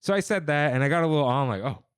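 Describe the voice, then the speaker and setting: A man's voice: fairly high pitch, very fast, clean tone, no room sound, clean studio sound. A young man who grew up speaking Armenian, chatting casually as a podcast co-host and telling wedding stories with quoted voices. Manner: animated storyteller, quotes people in voices. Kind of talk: casual chat.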